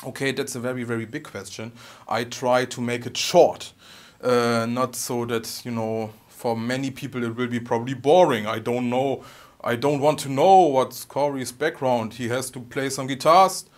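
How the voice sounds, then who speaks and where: Man, 30s, heavy German accent, casual Q&A host, soft and halting delivery, ends sentences with "okay?", mid-pitched voice. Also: fairly even intonation. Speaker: guitar channel host. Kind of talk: casual personal Q&A.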